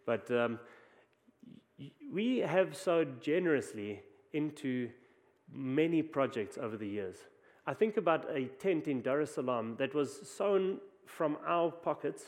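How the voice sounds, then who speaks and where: A man's voice: on the high side, expressive, a little slow. A man with a South African accent, preaching a conversational sermon with frequent restarts. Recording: long hall reverb.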